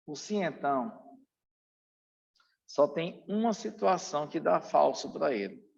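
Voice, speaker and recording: A man's voice: a little high, creaky, darkish tone. A Brazilian man giving an exam-prep lecture as a teacher, in a didactic, emphatic way that repeats key points. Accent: Brazilian Portuguese. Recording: dull, muffled, long room reverb.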